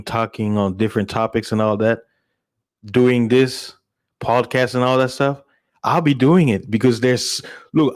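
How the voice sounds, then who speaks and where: Low-voiced man. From Congo. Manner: informal, chuckles often. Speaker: live streamer and podcast host. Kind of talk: casual chat to listeners.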